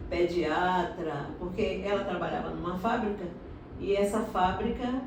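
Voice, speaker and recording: Middle-aged woman, low voice, distant mic, echoey noisy room, unhurried storyteller. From Salvador, Bahia, Brazil. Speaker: interviewee, doctorate holder. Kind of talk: personal life story interview.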